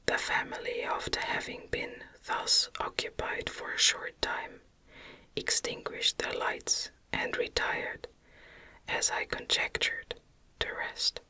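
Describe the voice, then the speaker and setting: A woman reading a gothic novel aloud as ASMR, in a gentle, breathy, unvoiced whisper with measured delivery, close to the microphone. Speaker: audiobook narrator.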